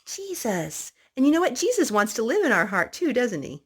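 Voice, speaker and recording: A woman's voice: fairly high pitch, sing-song, normal speed, husky. A woman hosting a children's storytime, reading picture books aloud and asking little prompting questions in a child-directed way.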